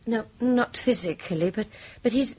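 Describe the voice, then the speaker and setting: A woman's voice: mid-range voice, normal speed, a little mellow, slightly rough, lightly acted. An actress playing a worried, emotional wife and mother in a horror radio play, hesitant and fretful. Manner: hesitant, fretful, breaking off and repeating herself.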